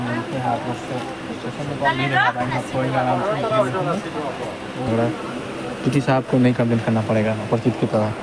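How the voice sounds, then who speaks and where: A man's voice: flat delivery, a little fast, a loud crowd. A man with a Bihari accent chatting informally to his viewers as a travel vlogger. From Bihar, India. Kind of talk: casual vlog chat to camera. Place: busy outdoor market, railway station and train. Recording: noisy station and street, vehicle rumble. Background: loud traffic, engine and station noise.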